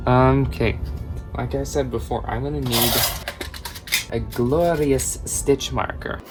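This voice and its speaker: A young man in his late teens walks through a crochet project in a casual tutorial chat. He rambles and wanders off topic, and his voice sits at an ordinary pitch. Music plays clearly underneath him. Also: steady tone, slow. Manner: chatty, rambling, drifts off topic. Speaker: crochet vlogger and small shop owner. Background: background music.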